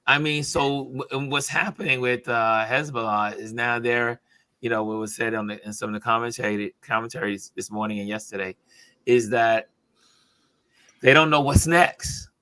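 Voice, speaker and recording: Bright, forceful male talk show host. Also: mid-range voice, fairly even intonation, clear, resonant tone, dry recording. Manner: halting, repeats phrases as he builds a point. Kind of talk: casual panel discussion of news.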